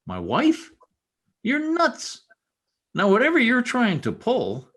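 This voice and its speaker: A man acting an exasperated newspaper reporter in an old-time radio comedy. His husky voice swings widely in pitch as he tosses out slangy wisecracks. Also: wildly swooping pitch, slow, fairly bright tone, heavily acted. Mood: indignant, flustered.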